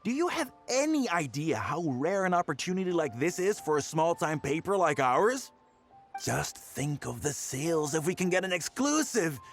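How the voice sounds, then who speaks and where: A man's voice: a little high, very animated, normal speed, fairly bright tone, faint music behind. An actor playing a pushy, scheming male newspaper boss in a video game, talking down to people in a scornful, bullying way. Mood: gloating, scheming. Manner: condescending, hectoring, slangy.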